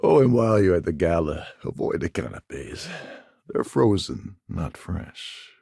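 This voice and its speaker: A man playing a roguish, wry starship captain and ex-pirate in a video game, a sardonic schemer with a gravelly, husky voice. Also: sits low, very animated, unhurried, warm tone, no echo, clean studio sound, heavily acted. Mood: peeved, sardonic. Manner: sardonic, smooth-talking schemer. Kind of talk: video game character dialogue.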